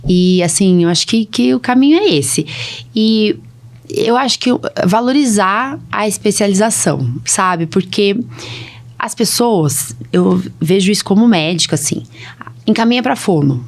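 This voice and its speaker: A woman with a Brazilian Portuguese accent talks in a mid-pitched voice as a podcast host. She sounds like a doctor chatting informally, explaining practical points and tagging her phrases with little checks for agreement. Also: lively intonation, unhurried. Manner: chatty, explanatory, frequent tag questions seeking agreement. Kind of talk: casual podcast conversation.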